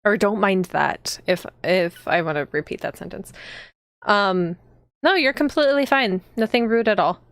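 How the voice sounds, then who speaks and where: A woman's voice: medium pitch, moderate pace, a bit bright, smooth, dry recording, clean studio sound. A woman chatting casually to viewers as she hosts a live game stream. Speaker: streamer and host.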